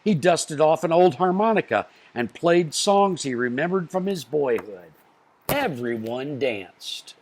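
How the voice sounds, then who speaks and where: A man reading a picture book aloud to children, expressively, with deliberate phrasing and stressed story beats. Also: on the high side, expressive.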